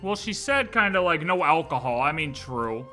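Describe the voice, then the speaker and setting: A man's voice: on the high side, sing-song, normal speed, sharp tone, faint music behind. A man livestreaming a video game, chatting casually and thinking aloud in slangy, offhand asides.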